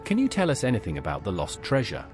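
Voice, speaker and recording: A man's voice: fairly low pitch, wildly swooping pitch, fast talker. A man voicing an earnest, inquisitive adventurer in a fantasy video game, polite and questioning. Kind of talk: AI-voiced fantasy game dialogue. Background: game music.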